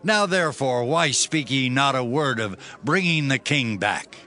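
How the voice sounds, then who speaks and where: An earnest troubled commoner. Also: male voice, mid-range voice, animated, unhurried, sharp tone. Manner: questioning, earnest. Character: troubled man among the common people.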